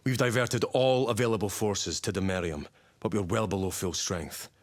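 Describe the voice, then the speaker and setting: Male actor as battle-hardened sci-fi officer, low-pitched, raspy, authoritative, terse, dramatic game briefing. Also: normal speed, close to an everyday voice. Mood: grave, commanding. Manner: clipped, authoritative, terse orders. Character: stern, commanding male Space Marine captain, battle-hardened officer. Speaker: military commander in a video game. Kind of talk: dramatic video game voice acting, mission briefing.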